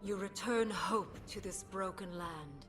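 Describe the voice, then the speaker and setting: A woman acts out an ancient bird spirit from a fantasy game, wise and gentle. She speaks slowly at a middle pitch, with faint music behind. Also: close to an everyday voice. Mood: gentle reverence. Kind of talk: dramatic fantasy game voice lines.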